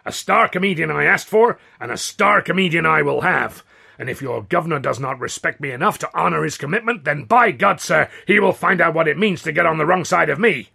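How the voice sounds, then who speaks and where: Man playing big blustering promoter, audiobook character, scornful, bombastic, vindictive, husky, raised pitch. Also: animated, brisk, pushed and bright, heavily acted. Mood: indignant, threatening. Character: blustering, vindictive big American theatre promoter, cowboy type.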